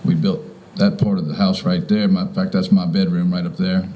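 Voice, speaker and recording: Very deep, mellow older man speaking informally. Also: level tone, fairly quick, slight background noise. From Virginia, United States. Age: 50s or older. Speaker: property owner welcoming guests. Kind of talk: informal welcome speech. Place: outdoors in front of a house.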